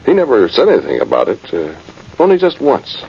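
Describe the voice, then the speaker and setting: An actor playing a plain-spoken, weary cavalry trumpeter in a radio drama, with a folksy, reflective, hesitant way of talking. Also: male voice, medium pitch, huge pitch swings, a little fast, gravelly. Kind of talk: first-person radio drama narration. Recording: muffled, noisy vintage radio recording.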